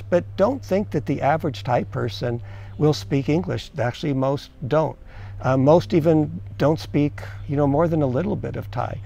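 A man's voice: fairly high pitch, creaky, mellow, lo-fi sound. A middle-aged man from the United States, an expat giving earnest, conversational advice to viewers and asking them questions. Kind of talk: casual advice to camera.

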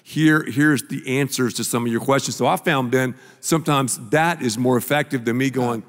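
A man's voice: medium pitch, moderate pace. An older man, a pastor, telling a story in a stage sermon dialogue on a large church stage with a handheld mic. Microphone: handheld microphone. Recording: long hall reverb.

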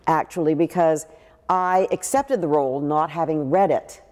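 An older actress telling stories in a seated interview, with a deep, big voice and lively gestures. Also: female voice, normal speed, big-room sound. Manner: expressive, gestures a lot while talking. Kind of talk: animated interview storytelling. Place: living room with a pool table, indoors.

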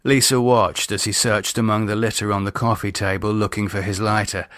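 A man's voice: on the low side, level tone, a little fast, a bit bright, no echo, studio quality. A man reading scripted audiobook narration of a novel as its narrator. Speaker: author and narrator.

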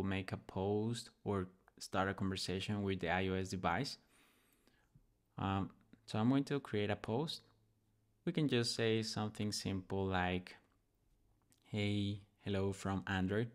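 Guy with a lowish voice walking thru a coding tutorial, informal, kinda thinking out loud. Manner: informal, thinking aloud as he demonstrates. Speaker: programming tutorial host. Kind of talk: casual screen-recording software tutorial.

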